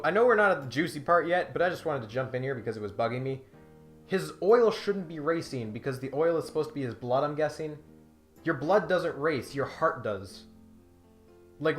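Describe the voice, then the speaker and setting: A man's voice: fairly high pitch, echoey room. A man hosting with comic commentary and dramatic reading, in a mock-serious, sarcastic manner. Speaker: host.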